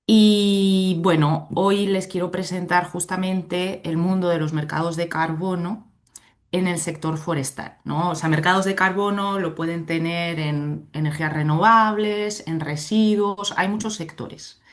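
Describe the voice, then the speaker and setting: A woman's voice: lowish voice, steady tone, a little slow. A woman from Spain with a Spanish accent, giving a chatty online conference talk as a legal expert over a video call, often checking in with her audience. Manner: chatty, keeps checking in with "¿no?" and "¿de acuerdo?". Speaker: invited speaker, legal expert. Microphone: computer mic on a video call.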